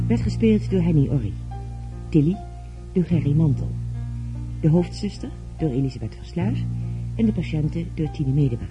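A man announces the closing credits of a radio drama over clear music. His voice is fairly high for a man, and the delivery is scripted. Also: dull audio.

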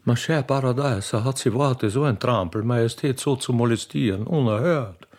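A man's voice: mid-range voice, moderate pace, a little mellow, natural voice. A man voicing a snobbish, indignant Austrian aristocratic lady in a radio play.

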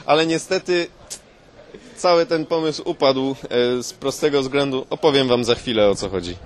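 A man's voice: medium pitch, normal speed. Man spinning a sweary comic yarn. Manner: colloquial, sweary, mock-scholarly. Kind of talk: improvised comic storytelling among friends.

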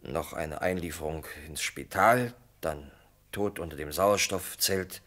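An actor playing a guilt-struck salesman in a radio play, confessing earnestly in a halting, often hushed way. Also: low voice. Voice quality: husky. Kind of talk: German radio play acting.

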